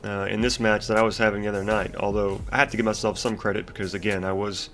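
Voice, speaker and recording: A man's voice: on the low side, level tone, fast talker. A congested young man casually ranting about a video game as he comments on his gameplay, rambling with lots of fillers and restarts. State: congested, stuffy nose. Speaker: gaming YouTuber commentating gameplay.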